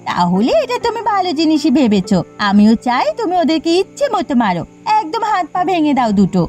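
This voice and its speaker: A woman's voice: high-pitched, very animated, a little fast, darkish tone, soft background music. A woman voicing a spiteful wife who goads her husband toward violence in a cartoon. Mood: goading. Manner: goading, vicious. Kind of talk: cartoon voice acting.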